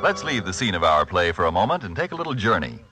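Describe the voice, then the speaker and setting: A male commercial announcer from old-time radio, speaking in a low, bright voice. He narrates his scripted pitch with faint music behind him. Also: sing-song, quick pace. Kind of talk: 1940s radio advertising narration. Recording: old radio broadcast recording.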